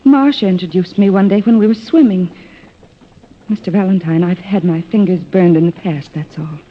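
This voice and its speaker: A woman's voice: fairly low pitch, moderate pace, a little hiss or hum, close to an everyday voice. An actress playing a self-doubting, wistful woman in a 1950s-style radio drama, hesitant, earnest and apologetic. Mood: shy, self-deprecating. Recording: muffled old-time radio recording.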